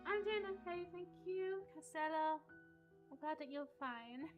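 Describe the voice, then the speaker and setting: A woman with a high voice streams a video game live. She chats casually with viewers and reacts to the story, with game music clearly audible behind her. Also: measured pace, narrow, tinny audio.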